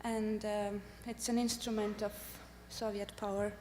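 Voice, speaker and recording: Halting Estonian woman speaking in a hall. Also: medium pitch, level tone, dark tone. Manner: careful, halting delivery with frequent "uh" pauses. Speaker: artist presenting at a summit. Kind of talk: conference presentation with slides. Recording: echoey hall with faint hum.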